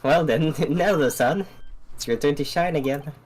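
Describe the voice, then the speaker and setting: A man voicing a courteous, earnest young female assistant with a measured, gently teasing manner in a game fan dub. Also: on the high side, close to an everyday voice. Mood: delighted.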